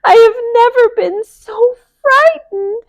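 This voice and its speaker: A timid, gentle country mouse, voiced by a woman reading a storybook, with a high, squeaky voice. She sounds small and easily frightened. The read-aloud is close and dry. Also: slow pace, no room sound, full character voice. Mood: frightened squeak. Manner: squeaky and fearful.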